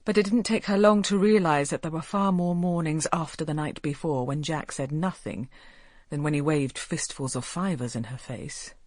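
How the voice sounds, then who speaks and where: A woman's voice: low voice, dry recording, clean studio sound. A woman reading scripted story narration as an audiobook narrator.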